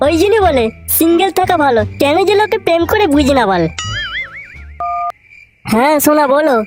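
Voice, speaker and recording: Woman voicing a very high-pitched cocky young slacker. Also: lively intonation, normal speed. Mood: cheeky. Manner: slangy, exclamatory. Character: cocky, foul-mouthed, lazy unemployed young boyfriend, male. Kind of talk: comic cartoon dialogue. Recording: background music under voice.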